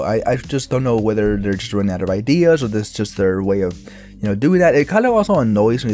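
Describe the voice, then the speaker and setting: Raspy, rambling gamer guy. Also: lowish voice, dark tone. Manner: rambling, filler-heavy, laid-back. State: raspy, recovering voice. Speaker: gaming YouTuber. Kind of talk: casual gameplay commentary.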